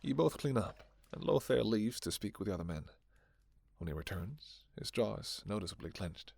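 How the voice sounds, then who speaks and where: A man's voice: fairly low pitch, expressive, normal speed, vocal fry, a little mellow, breathy edge. A man delivering scripted second-person narration for a fantasy story, as its narrator. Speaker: narrator and voice performer.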